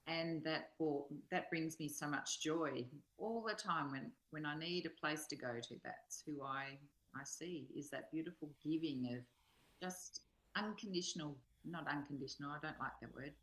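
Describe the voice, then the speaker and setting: A woman's voice: sits low, normal speed, a bit bright, poor audio. An older woman in a reflective, personal conversation as a study partner.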